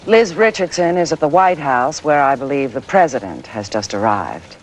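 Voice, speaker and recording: Composed 80s news anchor woman, lively inflection, faint noise. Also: a bass voice, moderate pace. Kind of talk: newscast hand-offs in a TV drama. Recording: faintly noisy 1980s TV broadcast.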